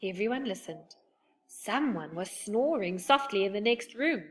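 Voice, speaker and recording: A woman reading a children's book aloud with soft, expressive storytelling. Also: medium pitch, a little slow. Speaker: storybook reader.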